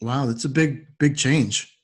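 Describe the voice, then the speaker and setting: A man's voice: mid-range voice, measured pace. A man hosting a podcast, introducing and interviewing in a friendly style. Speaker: podcast host.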